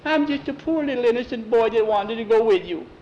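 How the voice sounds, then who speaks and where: Whiny, frightened young stowaway boy for a told story, played by a man, pitched way up, pleading. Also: a little fast, muffled recording, a little hiss or hum. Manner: pleading, anxious. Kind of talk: storytelling character voice.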